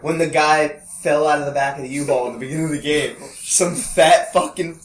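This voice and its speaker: A man's voice: fairly high pitch, steady tone, brisk. A man on a casual group podcast, talking bluntly with crude one-liners. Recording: roomy echo.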